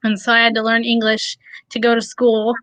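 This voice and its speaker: Female guest, 30s, higher pitch and crisp brightness, open and self-reflective. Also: completely flat delivery, fairly quick, no room sound, poor audio. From born in a Russian-speaking country, adopted to the US at six. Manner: open, reflective, light nervous laughs. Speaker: guest, preschool educator and aspiring life coach. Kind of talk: candid personal interview.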